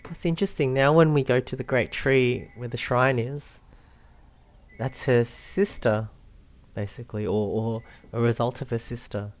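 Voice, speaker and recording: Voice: mid-range voice, steady tone, moderate pace. A gamer giving casual let's-play commentary, thinking aloud and reading on-screen text. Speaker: gaming video host. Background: faint game music.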